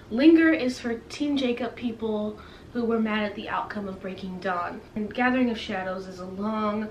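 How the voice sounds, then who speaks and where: A young woman chatting casually to camera as a book vlogger, playfully dramatic and self-deprecating. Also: mid-range voice. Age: young adult. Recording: roomy, distant mic with faint hiss.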